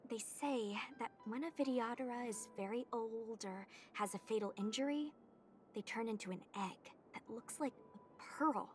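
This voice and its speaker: A woman's voice: a little high, moderate pace, close to an everyday voice. A woman voicing an eager young swordswoman. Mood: explaining. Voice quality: eager, chatty questioning. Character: young female sword-trained knight, curious and blunt. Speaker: game character. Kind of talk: video game voice acting. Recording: game audio with faint music behind.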